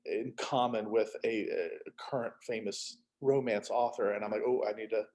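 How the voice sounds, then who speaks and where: A man's voice: lowish voice, steady tone, normal speed, darkish tone. A middle-aged man who is an author, chatting casually and telling stories with acted-out asides. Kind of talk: casual chat.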